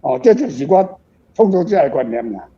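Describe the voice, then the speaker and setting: A man's voice: fairly high pitch, sing-song, a little fast, music in the background. An older man with a Taiwanese accent, talking casually and reflectively as a guest author, in a rambling, frank, self-deprecating way. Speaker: author, guest on a radio show. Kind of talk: casual reflective book talk. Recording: dull lo-fi recording.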